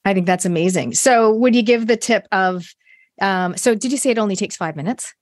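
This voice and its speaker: A woman's voice: mid-range voice, fairly quick, dry recording, studio quality. A woman with a Canadian accent hosting an interview, asking questions in a chatty, curious way. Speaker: podcast host. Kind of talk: casual interview chat.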